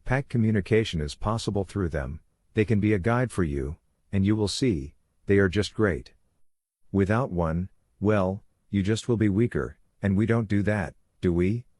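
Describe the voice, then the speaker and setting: A man voicing a calm, authoritative werewolf pack leader who lectures in a measured, instructive way for a fantasy audiobook. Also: fairly low pitch, dry recording, studio quality, voice pushed down, lightly acted. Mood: patient authoritative.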